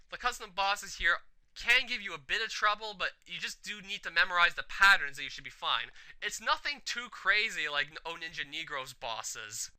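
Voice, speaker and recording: Animated man commenting on gameplay. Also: high voice, smooth, rough recording. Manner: chatty, frequent "like" fillers, opinionated. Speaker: let's play commentator. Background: game music.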